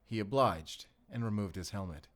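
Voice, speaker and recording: An actor playing a mysterious, protective and wry German-speaking werewolf hunter in an intimate audio roleplay, soft-spoken and teasing. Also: male voice, lowish voice, moderate pace, lightly acted. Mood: hushed storytelling. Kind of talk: cinematic audio roleplay, intimate bedtime storytelling.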